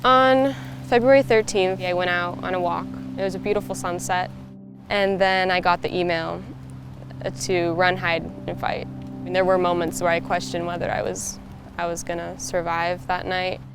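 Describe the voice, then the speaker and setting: Low-voiced young woman over music. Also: steady tone, normal speed. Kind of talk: recounting a personal experience. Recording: music playing behind the voice.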